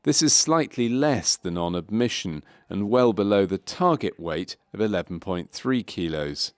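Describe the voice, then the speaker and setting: A man reading scripted narration for a medical training film in a measured, clinical way. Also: fairly low pitch, lively intonation, no room sound.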